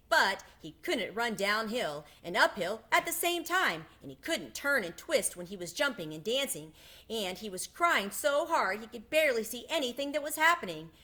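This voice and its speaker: A woman reading a children's book aloud in an expressive storytelling style. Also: on the high side. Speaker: storybook reader.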